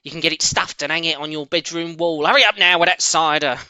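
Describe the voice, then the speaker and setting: A man's voice: fairly high pitch, steady tone, fast, sharp tone, clear, resonant tone, dry recording, voice pushed up. A man voicing an impatient, bossy farmer's wife in a storybook, calling out brusque orders.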